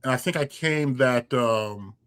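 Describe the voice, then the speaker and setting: A man's voice: medium pitch, a little slow, fairly bright tone, smooth. A young man hosting a podcast, chatting casually and rambling through his memories with many restarts. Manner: rambling, lots of fillers and restarts. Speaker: podcast host. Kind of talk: casual interview chat.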